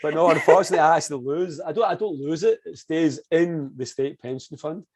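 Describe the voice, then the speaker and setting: A man's voice: fairly high pitch, brisk, darkish tone. A man in his forties, a language school manager chatting as a guest in an interview, joking and often repeating his words. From Scotland. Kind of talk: casual interview chat.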